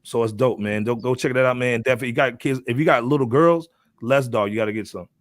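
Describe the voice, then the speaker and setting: A man's voice: mid-range voice, fast talker, no echo, clean studio sound. A man hosting a hip hop podcast in a casual, slangy, informal way, often punctuating his lines with "man". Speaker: podcast host.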